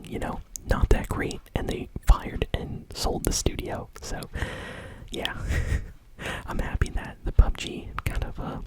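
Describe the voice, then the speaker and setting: A woman speaks entirely in a soft, airy whisper. She hosts news in an ASMR style, explaining things in a casual and chatty way. Her delivery is unhurried and conversational. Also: rough recording. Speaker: gaming news host.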